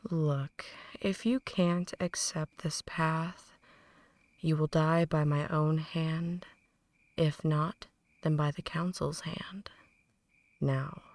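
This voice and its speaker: A woman playing a possessive, ancient vampire in an ASMR roleplay, speaking softly and breathily, often in whispers, with a deliberate, condescending menace. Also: low-pitched, dry recording. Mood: menacing, possessive. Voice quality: soft, breathy, largely whispered. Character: possessive, ancient female vampire mentoring a newborn, cold and menacing. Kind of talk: ASMR roleplay, slow intimate dramatic monologue.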